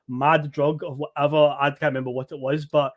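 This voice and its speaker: Animated Scottish man telling a story. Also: fairly high pitch, brisk, lo-fi sound. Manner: animated, swearing anecdotal storyteller. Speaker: podcast guest. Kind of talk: casual storytelling chat.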